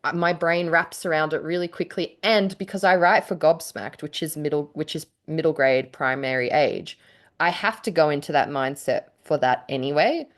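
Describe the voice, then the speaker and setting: A woman's voice: low voice, normal speed. A woman co-hosting a casual podcast chat, often laughing as she talks. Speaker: podcast co-host and writer.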